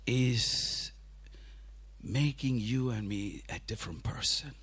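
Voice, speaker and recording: A man whose first language is Tamil, preaching a sermon in English in a church, speaking in halting phrases with restarts for an interpreter. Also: mid-range voice, animated, fairly bright tone, slightly rough. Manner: halting, restarts, rising intensity.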